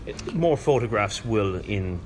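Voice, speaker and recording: A man's voice: fairly low pitch, expressive, a little slow. A man with an Irish accent chatting casually and wryly as a podcast guest, with jokey banter. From Ireland. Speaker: podcast guest, gaming event representative. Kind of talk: casual chat. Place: hotel breakfast area. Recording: clearly noisy room ambience.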